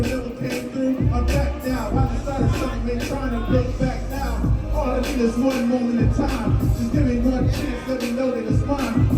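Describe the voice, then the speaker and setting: A man rapping live as a hip hop performer on a stage. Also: very high-pitched. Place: outdoor New Year's street celebration stage. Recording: echoey distant live stage, loud music and crowd.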